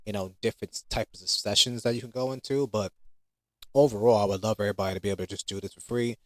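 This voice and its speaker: Male, low-pitched, informal app creator talking through a product demo, close dry sound. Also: fairly even intonation, fast talker, dry recording. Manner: informal, conversational. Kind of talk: casual unscripted screen demo talk.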